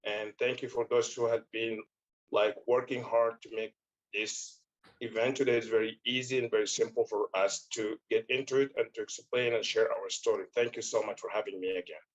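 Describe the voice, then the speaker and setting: A man's voice: lowish voice, steady tone, normal speed, muffled recording. A man closing his part of a panel, hesitant and grateful, over a video call. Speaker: panel speaker. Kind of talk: thanking at the end of a panel.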